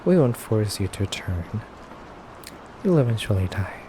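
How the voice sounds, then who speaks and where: A man playing a courteous yet menacing effeminate vampire captor in an ASMR roleplay, soft-spoken and deliberate with frequent pauses. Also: lowish voice, big pitch swings, a little hiss or hum. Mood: calm, solemn. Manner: soft-spoken, slow, deliberate, pausing often.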